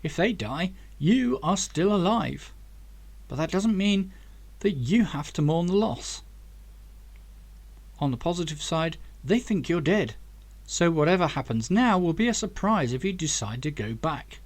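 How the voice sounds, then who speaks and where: A helpful computer professor in a sci-fi audiobook, played by a male reader, with a slightly high male voice. He lays out facts in an informative, matter-of-fact manner. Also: animated, unhurried. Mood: reassuring. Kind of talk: audiobook character dialogue.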